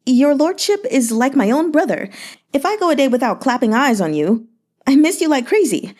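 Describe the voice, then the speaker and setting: A woman's voice: high-pitched, very animated, normal speed, darkish tone, pitched above the performer's own voice. Woman doing a fawning young merchant man. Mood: gushing. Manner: lilting, wheedling, full of little interjections. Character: fawning smooth-talking young male merchant.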